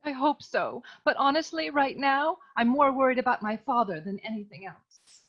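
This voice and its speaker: A woman's voice: high voice, animated, normal speed. A woman acting a melodramatic young damsel client in a comic noir radio serial, earnest and sweet, on a slightly lo-fi recording. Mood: grateful, swooning. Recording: slightly dull, lo-fi microphone.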